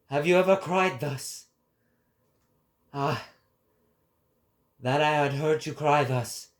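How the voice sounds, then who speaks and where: A man voicing an impassioned prophet preaching to a crowd, declaiming grandly and deliberately in a dramatic philosophical reading. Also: a little high, pitched above the performer's own voice. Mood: accusing, exalted.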